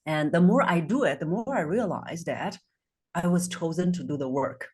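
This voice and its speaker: A woman in her forties, a voice coach based in Singapore, answering interview questions in a measured, earnest way, over a video call. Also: lowish voice, lively intonation, darkish tone, husky.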